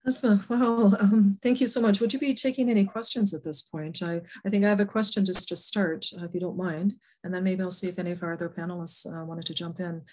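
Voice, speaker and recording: A woman's voice: mid-range voice, steady tone, quick pace, hardly any pauses, darkish tone. A woman moderating a panel over a video call, asking questions politely and a little hesitantly.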